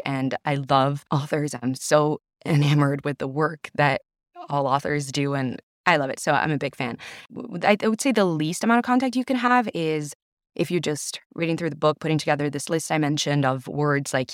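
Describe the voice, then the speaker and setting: A woman's voice: deep voice, no echo, clean studio sound. A woman whose first language is Italian, an audiobook narrator and actor talking enthusiastically in a podcast interview. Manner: enthusiastic, effusive, frequent "you know".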